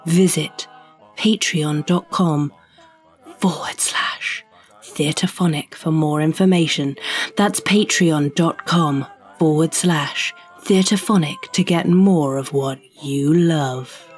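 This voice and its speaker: A woman announcer with a low, husky voice reads a scripted podcast promo. She takes her time, with music playing behind her. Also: takes it slowly.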